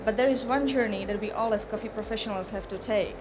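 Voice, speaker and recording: A woman's voice: medium pitch, music in the background. A woman with a Czech accent, a native Czech speaker, giving a careful, rehearsed barista competition presentation on stage. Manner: measured, careful, formal. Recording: noisy event venue ambience.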